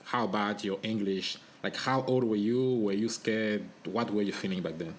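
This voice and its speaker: A man hosts a podcast interview, asking questions in an easygoing, conversational way. His voice is on the low side, and he pauses often with hesitant fillers. A faint noise runs underneath. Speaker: podcast host.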